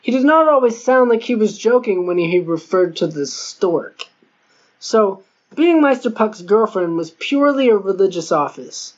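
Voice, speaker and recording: Woman narrating a novel, dull lo-fi sound. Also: lowish voice. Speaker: audiobook narrator. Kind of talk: scripted first-person novel narration. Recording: dull lo-fi audio.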